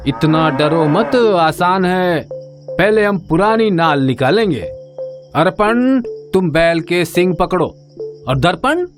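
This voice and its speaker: A man playing a stern but kindly village farmer father in a cartoon moral story, with an expressive rustic drawl. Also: high-pitched, slow, music in the background. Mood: instructive, patient. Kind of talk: animated moral story acting.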